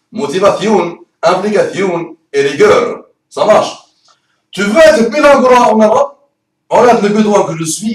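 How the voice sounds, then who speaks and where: Man with an Arabic accent, not a native French speaker, rolled R's, playful and emphatic teacher's pitch; high voice, echoey room, set back from the mic. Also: recorded from a distance. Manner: playful, emphatic, rhetorical questions. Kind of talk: promotional course pitch.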